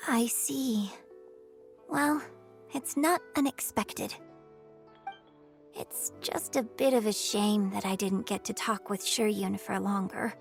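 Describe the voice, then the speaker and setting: A woman voicing a gentle, thoughtful young opera performer in a video game, composed and polite. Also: mid-range voice, steady tone, slow pace, creaky, pauses often, soft background music. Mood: calm, wistful.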